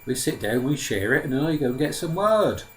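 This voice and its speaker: A man's voice: mid-range voice, big pitch swings, a little fast, a little hiss or hum, voice pushed up, natural voice. A man voicing a naive, good-natured young simpleton in a fairy tale, with a Russian accent and simple broken grammar. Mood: generous.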